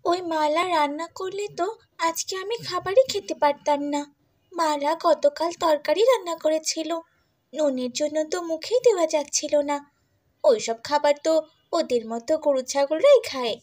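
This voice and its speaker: Lady doing a snooty spoiled cartoon girl, high voice, whiny and spiteful. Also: normal speed, a little mellow, close to an everyday voice. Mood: smug, disdainful. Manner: whiny, sneering, tattling. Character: spoiled, haughty young stepsister, spiteful daughter. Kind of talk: Bengali cartoon dubbing.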